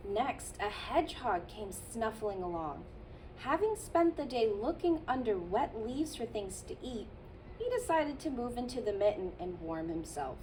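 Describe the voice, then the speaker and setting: A childcare teacher, a woman, reading a picture book aloud to children in a gentle storytelling manner with playful asides. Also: on the high side, sing-song, measured pace, distant mic, slight background noise, low-quality recording.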